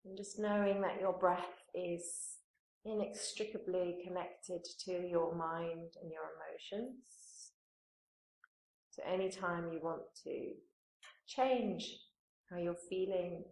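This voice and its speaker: A woman yoga teacher leading a guided meditation in a calm, soothing manner, with pauses between phrases. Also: on the low side. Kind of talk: guided meditation, gentle spoken instruction. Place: indoor room, live training course class. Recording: roomy echo, live class recording.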